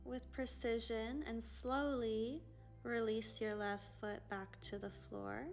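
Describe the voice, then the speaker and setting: Yoga teacher, young woman, sleepy gentle cues with a gravelly edge, small room, soft music under. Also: a little high, flat delivery, slow pace. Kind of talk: guided yoga class instruction. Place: small pink-lit room, indoors.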